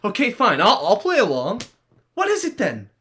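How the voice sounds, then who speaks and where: A woman's voice: on the high side, wildly swooping pitch, normal speed, a bit bright, exaggerated voice. A woman playing an exasperated, troubled teenage boy in a stage play reading, sarcastic and weary.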